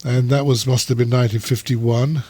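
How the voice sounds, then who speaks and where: A man's voice: medium pitch, level tone, moderate pace, dark tone, no room sound. A man, a podcast guest who chats in a rambling way, full of restarts and "you know"s. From England. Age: 70s. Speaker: podcast guest, former conference interpreter. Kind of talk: casual interview chat.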